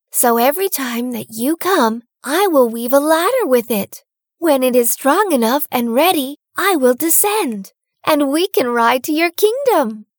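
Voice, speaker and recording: A woman voicing a young girl, Rapunzel, hopeful and eager, in a high wide-eyed storybook voice. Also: no room sound, studio quality.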